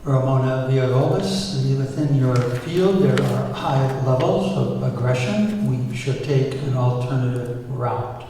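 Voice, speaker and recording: A man's voice: medium pitch, measured pace, few pauses, mellow, lightly acted. A man voicing a formal, watchful danger-detecting AI assistant in a script table read. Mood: formal alert. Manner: formal, procedural announcements. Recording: very echoey hall, distant mic, faint noise.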